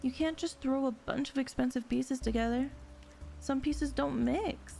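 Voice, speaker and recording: A woman's voice: high-pitched, measured pace, creaky, mellow, soft background music. A woman playing a sly, sweet-talking con artist in an audio drama, with a sassy, teasing, self-assured delivery. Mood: smug, sarcastic. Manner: sassy, drawling terms of endearment, teasing.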